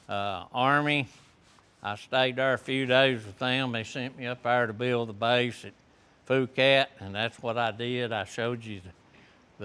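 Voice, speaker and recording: Slow-talking old man. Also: medium pitch, sharp tone, clear, resonant tone. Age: 70s. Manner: plainspoken, folksy, unhurried storytelling. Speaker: veteran guest speaker. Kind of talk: classroom talk to students. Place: high school classroom.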